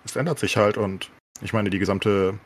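A man's voice: on the low side, normal speed. A man explaining things in casual podcast chat, rambling and colloquial, dropping in English slang. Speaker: podcast co-host.

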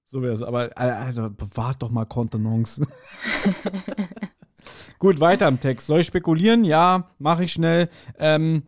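Guy with a higher voice, casual podcast chat, tells stuff like an animated storyteller. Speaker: podcast co-host. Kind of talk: casual chat, speculating about an audio drama episode.

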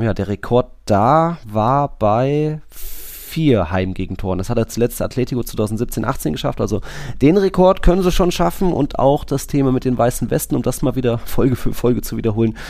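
A man's voice: mid-range voice, gravelly, mellow, no room sound. A man co-hosting a football podcast, casually going through results and statistics. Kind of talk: casual sports commentary with statistics.

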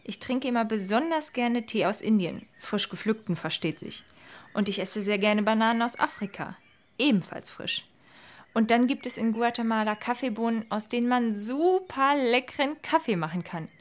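An actress playing a polite but calculating summit fairy in a fairy-tale audio drama, chatty and condescending. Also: female voice, on the high side, expressive, moderate pace, close to an everyday voice. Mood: brisk, polite.

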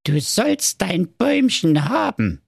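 A man's voice: high-pitched, wildly swooping pitch, slow, creaky, slightly rough, no room sound, studio quality, voice pushed up, exaggerated voice. A man voicing a grumpy, crafty evil male dwarf in a comic fairy tale, grumbling and sly.

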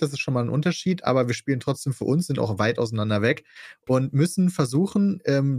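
A young man co-hosting a casual podcast, calmly and methodically explaining a game format. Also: on the high side, dry recording, studio quality. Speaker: podcast co-host.

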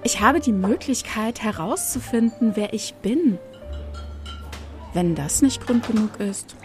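A woman's voice: on the high side, expressive, a little slow, soft background music. An actress playing a determined, edgy woman in an amateur science fiction audio drama. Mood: frustrated. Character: determined, edgy woman searching for her identity. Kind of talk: hobby sci-fi audio drama acting.

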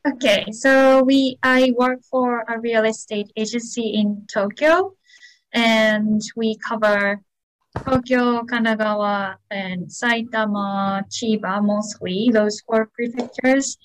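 A woman with a Japanese accent answering casually in an interview, in careful, halting second-language English with polite phrasing. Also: on the high side, fairly even intonation, rough recording. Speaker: real estate agent, interview guest. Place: on a video call.

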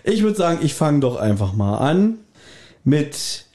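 A man with a soft-edged, relaxed tone and a slightly raised pitch hosts a casual podcast. He recaps from his own notes in a playfully ironic way. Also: measured pace. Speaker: podcast host.

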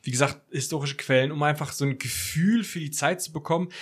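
A man's voice: on the high side, quick pace, breathy edge. A man talking casually as a podcast guest about making audio dramas. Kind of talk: casual interview talk.

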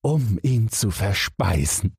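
Wretched storybook prisoner, acted by a man, raised pitch, plaintive, lamenting, husky, pitiful. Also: expressive, slow pace, a little mellow, no echo, clean studio sound, exaggerated voice. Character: miserable, pitiful male prisoners of giants. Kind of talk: fairy-tale audiobook character voice.

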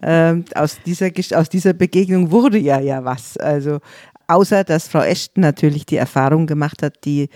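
A man discussing biblical callings in a lively, explanatory way, with a few hesitations. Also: a little high, moderate pace, no room sound, studio quality. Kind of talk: conversational religious podcast discussion.

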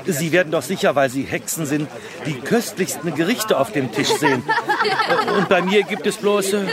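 Man acting a high, flustered, fussy middle-aged man. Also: normal speed, over a noisy crowd, lightly acted. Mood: apologetic, awkward. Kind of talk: children's radio play acting.

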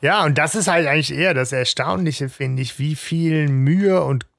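A man with a somewhat high, gravelly voice, thinking out loud in a casual podcast discussion. He hesitates often and restarts his sentences. The sound is dry and close, with no room around it. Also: moderate pace, dry recording. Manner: hesitant, many fillers and restarts, reflective. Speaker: podcast co-host.

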